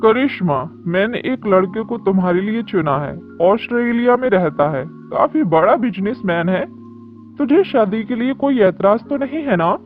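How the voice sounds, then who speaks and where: A woman voicing a stern, authoritative middle-aged uncle in an animated story. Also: mid-range voice, normal speed, lightly acted. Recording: dull lo-fi audio with music.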